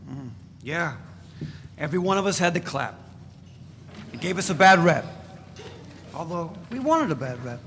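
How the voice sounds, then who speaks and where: A man voices a blunt, boastful ex-radical fugitive at a live reading. His voice is gravelly and sits a little high. The room rings with a long echo, and there is a faint hiss underneath. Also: very animated, moderate pace, pauses often, a bit bright, full character voice. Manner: blunt, provocative storytelling. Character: brash male ex-radical fugitive, boastful, crude. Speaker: reader in a dramatic reading. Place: event space.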